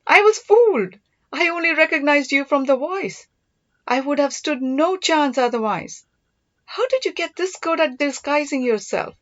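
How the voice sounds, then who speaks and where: A woman's voice: sits high, expressive, normal speed, no room sound, lo-fi sound, pitched above the performer's own voice. A woman voicing a young, spirited princess and sister in an audiobook, questioning and exclaiming. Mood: amused surprise. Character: gentle young Pallava princess, glum yet spirited sister.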